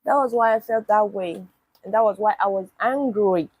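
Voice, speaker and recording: A woman's voice: medium pitch, normal speed, a little mellow, no echo, lo-fi sound. A young woman, live-streaming casually and answering chat comments bluntly, with swearing. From Nigeria.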